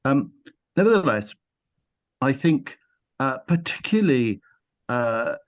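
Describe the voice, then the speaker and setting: A man speaking on a panel in a measured, hesitant way, with frequent pauses and fillers. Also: mid-range voice, sing-song, dull audio. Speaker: panel speaker on London transport. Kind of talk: panel discussion.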